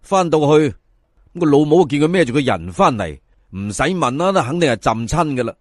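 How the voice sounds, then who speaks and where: A man's voice: fairly high pitch, very animated, no room sound, studio quality. A man with a Hong Kong Cantonese accent telling a folk tale in a colloquial, dramatic way, as a storyteller does. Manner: colloquial, dramatic, drawn-out sentence particles. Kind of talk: animated folk tale narration.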